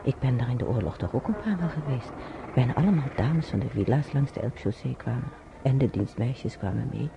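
An actor playing an elderly man who haltingly reminisces in a radio drama, searching his memory and muttering to himself. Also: mid-range voice, expressive, vocal fry, noisy background. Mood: reminiscing. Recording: muffled old radio drama recording.

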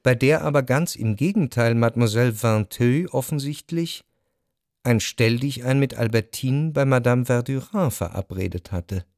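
An actor playing a jealous, brooding gentleman narrator in a literary radio drama, introspective and measured. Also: mid-range voice, no room sound, clean studio sound, natural voice. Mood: brooding, anxious. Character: jealous, brooding gentleman recalling suspicions in love.

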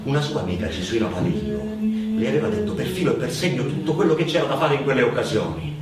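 A man's voice: mid-range voice, sing-song, loud music behind the voice. A man performing a theatrical narrative monologue as a storyteller, dramatic and given to insistent, building repetition. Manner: dramatic, building repetitions into drawn-out, chanted cries. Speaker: stage storyteller, actor. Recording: very echoey, distant microphone, noisy ambience.

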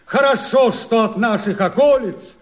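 An actor playing a fiery, defiant rebel Cossack, declaiming verse in a strained, ringing, oratorical voice for a radio drama. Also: male voice, very high voice. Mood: defiant, stirring. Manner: impassioned, oratorical, drawn-out. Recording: crowd clamour and music behind voice. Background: shouting crowd, music.

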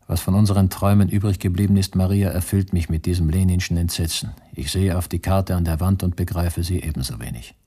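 Radio drama role: weary, bitter male political prisoner, dark husky low voice, measured and brooding. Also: fairly even intonation. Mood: disillusioned. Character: weary, disillusioned concentration camp prisoner, communist, writing to his beloved.

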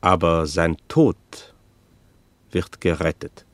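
A sober chronicler in a historical radio play. He narrates in a measured, formal way with a lowish voice. The sound is dry and close. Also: steady tone, no room sound. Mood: sober, grave. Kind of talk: scripted radio drama narration.

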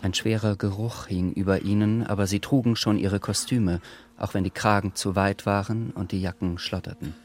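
A man narrating a literary radio drama in a measured, sober scripted style. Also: fairly low pitch, steady tone.

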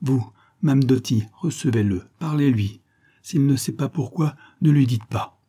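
A man's voice: on the low side, moderate pace, dark tone, breathy edge, no room sound, studio quality. A man voicing a heartbroken, resolute young woman, emphatic and pleading, in a melodramatic storybook reading. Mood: anguished.

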